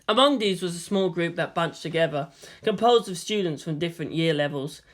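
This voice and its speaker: A narrator reads fiction aloud in a medium-pitched voice. The delivery is expressive, with melodic rises and falls from phrase to phrase. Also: a little fast. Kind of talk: expressive fan fiction reading.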